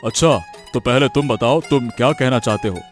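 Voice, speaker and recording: A man's voice: mid-range voice, moderate pace, natural voice. A man voicing a smug, bullying village businessman in a cartoon moral story, self-important and condescending. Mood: conversational. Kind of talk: animated moral story dialogue in Hindi. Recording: music behind the voice.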